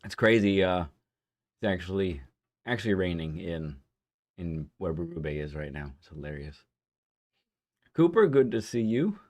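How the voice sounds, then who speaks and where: A man hosting a casual live stream who greets viewers by name. His throat is hoarse and phlegmy from a lingering cough. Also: sits low, big pitch swings, measured pace, long pauses. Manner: chatty, reads out viewer names warmly.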